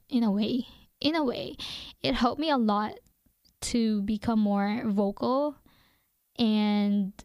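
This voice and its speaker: A young woman hosts her own radio show, speaking English with a noticeable foreign accent. Her fairly high voice is smooth and clean, and she reflects aloud at an easy pace, full of fillers and restarts. The recording is dry and close, with no room sound. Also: a little mellow, no room sound. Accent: non-native English accent. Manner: hesitant, many fillers and restarts. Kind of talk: reflective casual monologue.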